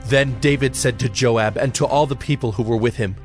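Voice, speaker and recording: A man narrating dramatized scripture from a script in a measured, formal manner. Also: medium pitch. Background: music.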